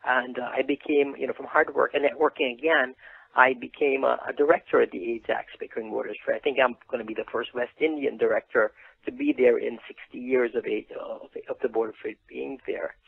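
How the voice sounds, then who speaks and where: Middle-aged Guyanese male interviewee, husky and creaky, conversational storyteller, heard over a narrow phone line. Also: medium pitch, steady tone, brisk, dry recording. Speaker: interview guest, print business owner. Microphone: phone call.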